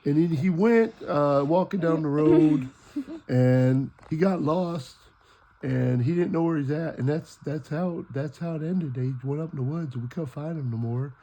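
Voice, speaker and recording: A man's voice: a little high, normal speed, mellow, close to an everyday voice. A man voicing a sleepy, muddled wombat who stumbles hesitantly through stories in an improvised puppet show. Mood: tired, defensive. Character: drowsy, bumbling wombat puppet, a muddled storyteller.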